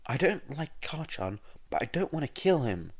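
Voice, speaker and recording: A man's voice: mid-range voice, very animated, moderate pace, voice pushed up. A man voicing a frightened, anxious teenage boy on the run in an audio story, breathless and pleading. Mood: anxious protesting. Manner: breathless, panicked yelling and pleading.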